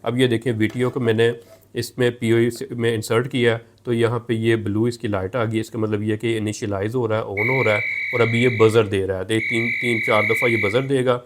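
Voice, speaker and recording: A man's voice: medium pitch, level tone, moderate pace, heavy background noise. A man narrating a hands-on technical tutorial, explaining and rephrasing each step as he goes. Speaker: tech tutorial presenter.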